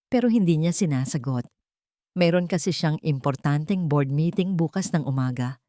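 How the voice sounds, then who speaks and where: A woman's voice: low-pitched, mellow, no room sound, studio quality. A woman voicing a scheming young rival in an audio love story, sugary and condescending, sliding between English and Tagalog. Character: scheming, syrupy-sweet young rival woman, venomous underneath.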